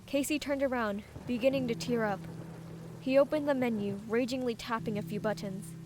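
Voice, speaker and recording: A woman's voice: on the high side, normal speed, background noise, lightly acted. A woman playing an emotional, impulsive young female fighter in a fantasy audiobook. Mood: sad. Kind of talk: dramatised audiobook, narration and acting.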